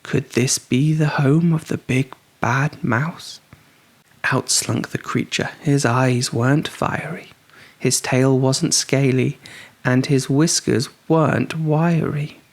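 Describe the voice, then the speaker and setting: Slow, husky, expressive storybook narrator. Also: medium pitch. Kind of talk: expressive storybook read-aloud.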